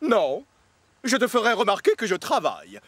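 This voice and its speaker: A boastful stubborn tank engine. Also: male voice, high voice, huge pitch swings, moderate pace, fairly bright tone, a little hiss or hum, full character voice. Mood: indignant. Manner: boastful, fussy and defensive. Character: proud, stubborn male tank engine, eager to please. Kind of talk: French-dubbed cartoon. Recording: music bed under the dialogue.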